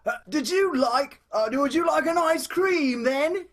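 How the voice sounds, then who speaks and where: A man playing an eager, solicitous deli ice cream server with an excitable manner in improvised radio comedy. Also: extremely high pitch, unhurried. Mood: eager, concerned.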